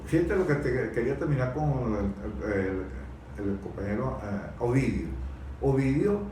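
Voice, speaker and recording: Hesitant male debater, mid-pitched, repeating words, distant from the mic in a very echoey space. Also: animated, warm tone. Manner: halting, repeats words while searching for phrasing. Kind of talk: conversational political-historical debate. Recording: very echoey room, distant mic, faint noise.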